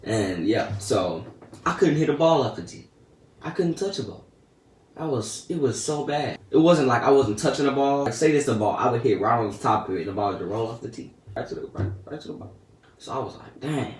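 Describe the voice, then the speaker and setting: A teenage boy casually telling a story about his day in slangy, laid-back talk that often trails off soft and quiet. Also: mid-range voice, expressive, fairly quick, far from the mic. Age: teens. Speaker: vlogger. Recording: echoey room, a bit off-mic, faint noise.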